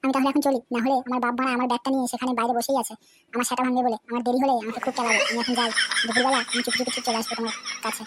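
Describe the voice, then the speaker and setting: A woman's voice: on the high side, little pitch movement, racing, heavy background noise, close to an everyday voice. A woman voicing a cheeky, foul-mouthed young village man in a cartoon, brash and swearing often. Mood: eager. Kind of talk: cartoon voice acting. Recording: loud background music.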